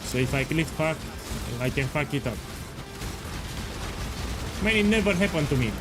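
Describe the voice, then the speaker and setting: A man's voice: a little high, lively intonation, moderate pace. Man streaming gameplay, music behind. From Romania. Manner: explanatory, repetitive, chatty. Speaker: game streamer. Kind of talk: casual gameplay commentary.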